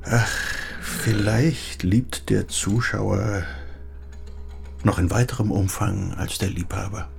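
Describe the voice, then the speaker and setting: An actor playing a middle-aged, bookish recluse in a radio play, speaking in a reflective, lyrical and measured way. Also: male voice, fairly low pitch, steady tone, creaky, soft background music, full character voice. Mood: wistful. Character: wistful, gently philosophizing bookish recluse husband. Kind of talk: German radio play acting.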